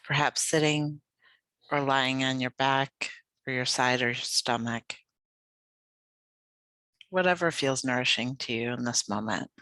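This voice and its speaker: A yoga nidra guide leading a guided meditation in a gentle, soothing, unhurried manner. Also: medium pitch, no room sound, poor audio.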